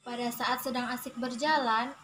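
A young woman telling a story to children, with deliberate phrasing and drawn-out words. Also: on the high side, fairly even intonation, background noise. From Indonesia. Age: young adult. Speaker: student storyteller.

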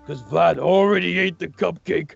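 A man playing an excitable young man in a Minecraft roleplay, reading silly fan fiction aloud with a gravelly voice. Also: high-pitched, moderate pace, no echo, muffled recording, soft background music, heavily acted. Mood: dramatic storytelling. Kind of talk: hammy storytelling in Minecraft roleplay.

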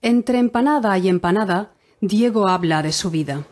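A woman narrating a Spanish learners' audiobook in a clear, measured reading style. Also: medium pitch, a little mellow.

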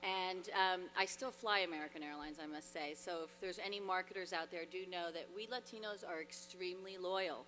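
A woman speaks at a podium microphone on a hall stage, her low-set voice bright and forceful. She sounds earnest and grateful, with frequent fillers and small restarts. Long reverb from the large hall hangs on her words over a faint hum. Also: fairly quick, few pauses. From Dominican Republic. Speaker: award honoree. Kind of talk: acceptance speech.

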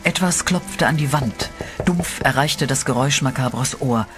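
A man narrating a horror radio play in a steady, dramatic storytelling voice, with eerie music behind him. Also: medium pitch, moderate pace.

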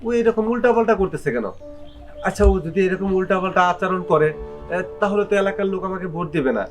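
A man's voice: sits high, fast, faint music behind, lightly acted. A man playing a worried middle-aged politician father in a cartoon drama.

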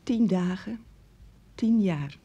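An actress in a Dutch radio drama playing a quietly reproachful wife in a strained marriage, in a breathy, husky voice. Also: female voice, medium pitch, lively intonation, takes it slowly, highs cut off, exaggerated voice. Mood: wistful.

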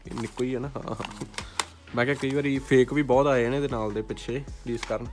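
A man's voice: medium pitch, steady tone, quick pace, background noise. A young man with a Punjabi accent chatting informally as he vlogs, mixing in English words. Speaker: vlogger showing a collection. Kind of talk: casual chat while showing items to camera. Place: small indoor room.

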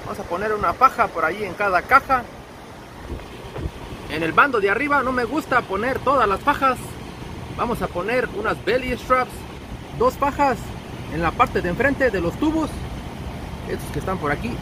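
A man's voice: high voice, expressive, moderate pace, long pauses. A man with a Mexican accent narrating a casual trucking vlog, chummy and slangy, talking to viewers as buddies. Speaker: truck driver and vlogger. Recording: clear outdoor wind and vehicle noise.

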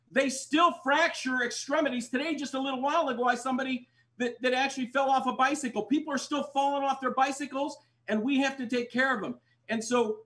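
Older man, high voice, urgent fundraising pitch over video call, Spanish first language. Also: a bit bright. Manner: urgent, pleading, repeats phrases. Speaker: doctor fundraising for clinics. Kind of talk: energetic fundraising appeal. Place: indoors, plain wall, home video call. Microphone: webcam on video call.

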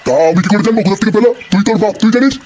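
A man puts on a cranky old village grandpa for a comedy cartoon. He scolds crudely at a very rapid pace in a raised pitch, over a clearly noisy track. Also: darkish tone, close to an everyday voice. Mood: grumbling. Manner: foul-mouthed, scolding. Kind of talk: Bengali comedy cartoon dubbing.

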